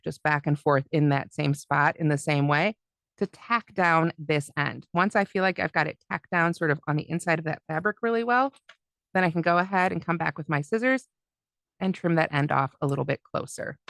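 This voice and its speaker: Woman crochet instructor, friendly step-by-step demo, lowish voice, dry close sound. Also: normal speed, vocal fry, fairly bright tone, no room sound. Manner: friendly, step-by-step, talks while demonstrating by hand. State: losing her voice, coughing. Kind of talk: live online craft class demonstration.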